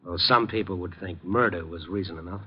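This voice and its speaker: A man's voice: sits low, fairly quick, faint music behind, natural voice. Muffled vintage radio actor as probing newspaper man. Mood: cool, pressing. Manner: wry, questioning, laconic. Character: cool, probing, world-weary newspaper reporter, adult man. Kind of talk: 1950s radio drama acting and first-person narration.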